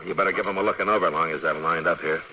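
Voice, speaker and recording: An actor playing a stern, weary middle-aged US Marshal of the Old West in a 1950s American radio western, speaking gravely and bluntly with an American accent. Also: male voice, low voice, steady tone, racing, bright, forceful tone. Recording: noisy vintage radio recording.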